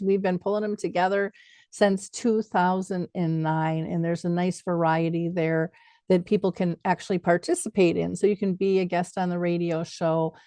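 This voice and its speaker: A woman's voice: lowish voice, a little mellow, dry recording. A woman talking conversationally as the host of an interview show.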